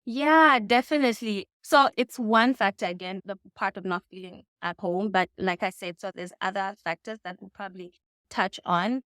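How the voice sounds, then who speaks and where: Clear-voiced Zambian woman in a dry room. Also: mid-range voice, normal speed, fairly bright tone, no echo. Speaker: interview guest. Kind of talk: casual reflective interview answers. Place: indoors in a home.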